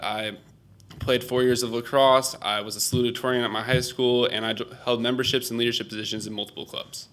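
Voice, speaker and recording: A man's voice: mid-range voice, a bit bright. A young man, a college sophomore, giving an earnest prepared speech about his own path to college. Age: young adult. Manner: earnest, personal storytelling. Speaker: student speaker.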